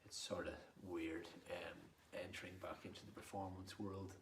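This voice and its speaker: A man's voice: deep voice, flat delivery, normal speed. An Irish man from Dublin with a Dublin accent, chatting casually and self-deprecatingly as a poet introducing himself. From Dublin, Ireland. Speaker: poet and performer. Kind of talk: casual rambling introduction to camera. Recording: roomy echo with clear background noise.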